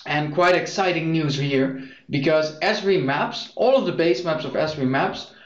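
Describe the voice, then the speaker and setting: Dutch guy doing a software webinar, kinda high voice, lots of uhs, lo-fi roomy sound. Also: unhurried. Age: young adult. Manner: explanatory, frequent uh fillers. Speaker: webinar presenter. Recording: echoey muffled lo-fi audio.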